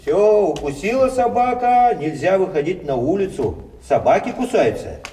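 A man's voice: high voice, very animated, normal speed, warm tone. An actor playing a brash, opinionated younger man who rants sarcastically in a theatrical stage comedy style. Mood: scornful ranting. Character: brash, argumentative younger man mocking old folk. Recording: roomy, distant mic, faint noise.

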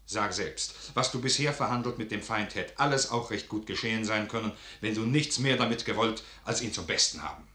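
A roomy, sharp-voiced impatient nobleman. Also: lowish voice, fairly quick, few pauses. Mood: reproachful. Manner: pointed, reproachful delivery. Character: impatient, distrustful noble confidant reproaching his commander. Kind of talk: 1950s German radio drama acting, classical verse. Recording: roomy 1955 radio studio recording.

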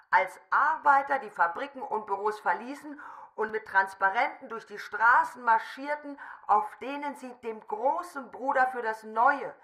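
Radio drama: dystopian regime newsreader, high voice, formal cheerful proclamations. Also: female voice, bright, forceful tone. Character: telescreen announcer woman, cheerful regime propagandist. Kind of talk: propaganda news bulletin in a radio drama.

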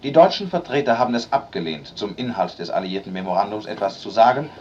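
A man's voice: fairly low pitch, lively intonation, quick pace, a little mellow. A man reading a news report in a newsreel style. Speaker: news announcer. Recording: dull lo-fi with faint hiss.

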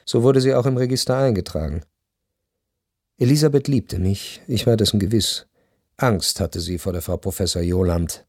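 An actor playing a reflective, wounded husband who narrates a literary radio play in a measured, restrained way. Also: male voice, on the low side, a little mellow, dry recording, studio quality, close to an everyday voice. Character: reflective, wounded husband recounting his past. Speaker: first-person narrator.

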